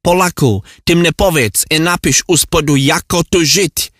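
A man's voice: fairly high pitch, measured pace, fairly bright tone, dry recording. Flustered Czech man played by an actor, close and dry. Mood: pleading despair. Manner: flustered ranting in garbled mock Czech-Polish. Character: hapless, exasperated Czech man lost in Poland. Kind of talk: comic monologue.

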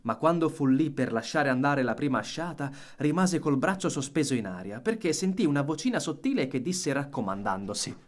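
Animated male storybook narrator. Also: on the high side, fairly quick, few pauses. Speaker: audiobook narrator. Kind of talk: expressive scripted storybook narration.

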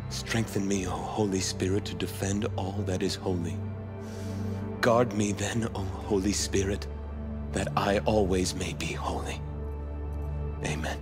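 An actor playing a frightened, devout condemned man in a video game, speaking solemnly and haltingly with earnest feeling. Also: sits low, fairly even intonation. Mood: prayerful. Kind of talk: video game dramatic acting. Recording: music behind the voice.

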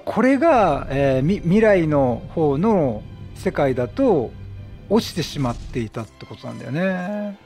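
Animated high-voiced man chatting through a game. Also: creaky. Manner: talkative, reactive, exclaiming. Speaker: let's play streamer. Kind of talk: chatty game commentary, reading game text aloud.

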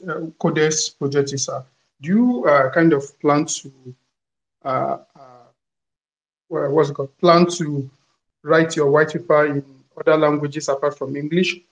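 A man asking a question in a live audio Q&A, halting and searching for words. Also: fairly high pitch, rough recording. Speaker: audience member asking a question.

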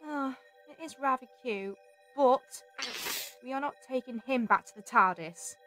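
Woman acting a bright, high-voiced feisty companion. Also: unhurried, faint music behind, low-quality recording. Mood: firm. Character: spirited, sceptical young female adventuring companion. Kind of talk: audio drama acting.

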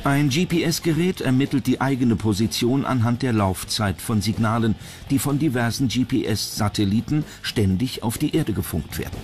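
A man's voice: medium pitch, lively intonation, normal speed, hardly any pauses, darkish tone. A man reading scripted narration as a documentary narrator. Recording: music bed under the voice. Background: background music.

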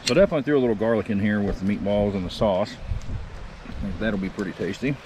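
A man in his thirties talking to the camera while cooking outdoors at a wooded campsite, rambling and easygoing as he thinks out loud. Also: fairly low pitch, fast talker, background noise. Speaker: camping cook vlogger.

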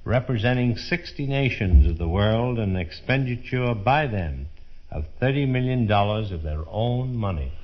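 An American man speaking as a tour guide in a scripted 1930s radio presentation, formal, measured and declamatory. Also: on the low side, lively intonation. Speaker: fair official acting as tour guide. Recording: dull, muffled 1930s radio broadcast.

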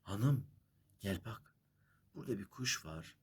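A man's voice: lowish voice, expressive, slow pace, a little mellow, breathy edge, exaggerated voice. A man voicing an eager, admiring fairy tale shoemaker who calls out and coaxes. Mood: eager, coaxing. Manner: calling out, coaxing. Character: eager, admiring male village shoemaker, bossy with wife.

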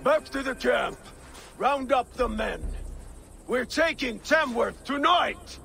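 A gravelly Viking leader. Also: male voice, very high voice, slow pace, sharp tone, soft background music, exaggerated voice. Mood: resolute. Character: steady veteran Viking leader, man.

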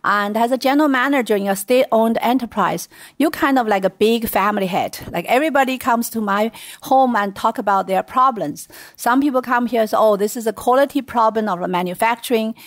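A middle-aged woman with a Chinese accent, a guest telling stories in a relaxed interview, laughing lightly now and then. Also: fairly high pitch, a little fast, no echo. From China. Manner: chatty storytelling with light laughs. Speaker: guest, venture investor. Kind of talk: casual interview.

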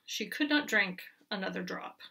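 A woman teacher reading a children's picture book aloud with expressive, rhythmic storytelling. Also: mid-range voice, moderate pace, gravelly, far from the mic.

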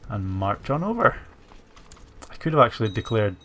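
A man's voice: fairly low pitch, sing-song, moderate pace, a bit bright, slight background noise. A man with a stuffy cold, musing aloud with fillers as he hosts strategy game commentary, with keyboard typing behind him. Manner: musing aloud while playing, many fillers. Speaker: gaming channel host.

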